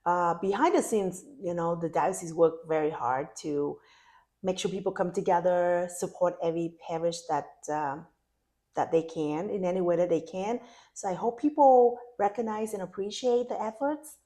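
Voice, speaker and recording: A woman's voice: lowish voice, normal speed, mellow. Earnest woman guest. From Vietnam. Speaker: interview guest, parish council member. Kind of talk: casual interview.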